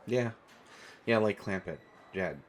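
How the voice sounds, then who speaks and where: A man's voice: on the low side, animated, takes it slowly, a little hiss or hum. A man playing a shy, awkward, kind stranded traveler in an audio roleplay, hesitant, stammering and apologetic. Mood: awkward, earnest.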